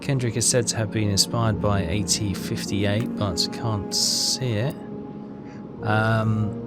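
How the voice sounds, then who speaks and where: A man with a fairly low voice talks through a game walkthrough. He reads text aloud and thinks as he goes, in a halting, casual way. Music plays clearly behind him. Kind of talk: casual let's play commentary, reading game text aloud.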